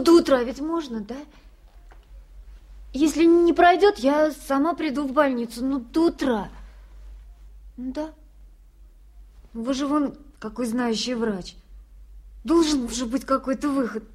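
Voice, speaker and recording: A woman's voice: high-pitched, a little slow, pauses often, a little mellow. An actress playing a pleading, trusting young woman patient in a radio drama, asking in short, eager bursts. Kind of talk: 1980s Soviet radio drama acting.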